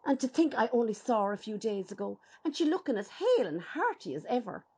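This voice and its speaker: An actress playing a nosy, gossipy older Irish neighbour in a radio play, with a husky voice and a chatty, probing manner. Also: female voice, fairly high pitch, very animated, fast talker, dark tone, heavily acted. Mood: chatty, fussing.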